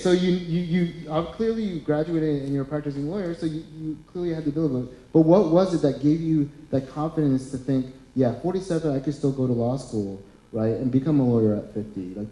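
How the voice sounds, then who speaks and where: A man in his thirties moderating a panel discussion, posing questions in an easy, friendly, conversational way. His voice is deeply mellow and dark. The room adds a roomy echo. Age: 30s. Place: lecture hall.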